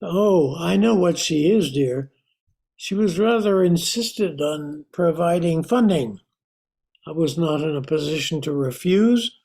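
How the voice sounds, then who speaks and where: A man's voice: on the high side, animated, unhurried, gravelly, a little mellow. An older man acting an anxious, apologetic scientist in a radio drama, speaking in a hesitant, formal, mild-mannered way. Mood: worried. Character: anxious, apologetic male scientist, husband and uncle. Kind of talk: amateur old-time radio drama acting.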